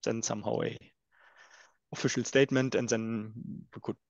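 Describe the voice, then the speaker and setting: A man's voice: fairly low pitch, steady tone, moderate pace, gravelly, darkish tone, no echo. A man taking part in a casual work discussion on an online call, explaining things conversationally. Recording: dry, dull call audio.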